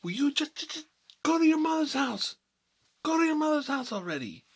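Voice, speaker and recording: A man playing a gruff, sardonic mob boss who rambles and repeats himself through mock threats, in an ASMR-style comic monologue with a husky voice. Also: very high-pitched, sing-song, brisk, voice pushed up, exaggerated voice. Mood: exasperated.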